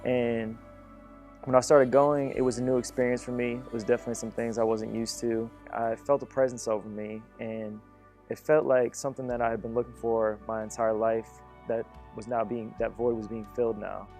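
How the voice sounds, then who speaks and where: A man in his late twenties tells his own story as a testimony. His mid-pitched voice stays very even and flat, with soft music underneath. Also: moderate pace. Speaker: church member giving testimony. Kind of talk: personal testimony.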